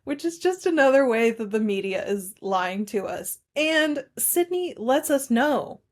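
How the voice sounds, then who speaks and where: A woman's voice: high-pitched, lively intonation, measured pace. Woman chatting casually as co-host. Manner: informal, conversational. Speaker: podcast co-host. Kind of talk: casual film discussion, recapping scenes.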